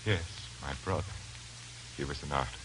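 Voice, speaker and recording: An actor playing a brooding, fanatical lodger in 1940s radio suspense style, formal and intense. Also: male voice, rumbling low, moderate pace. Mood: soft, brooding. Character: brooding, fanatical male lodger obsessed with evil beauty. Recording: noisy old 1940s radio recording.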